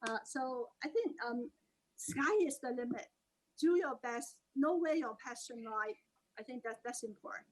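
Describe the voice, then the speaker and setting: A woman's voice: on the high side, moderate pace, low-quality recording. A woman with a Hong Kong English accent, Cantonese her first language, giving earnest, encouraging career advice on a panel.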